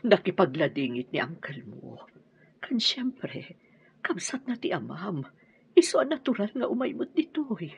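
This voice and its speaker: A woman with an Ilocano accent playing a grieving, pleading widowed mother in a radio drama, tearful and drawn-out. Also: on the low side, very animated, gravelly, slightly rough, heavily acted. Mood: anxious reproach. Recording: dull, muffled radio drama audio.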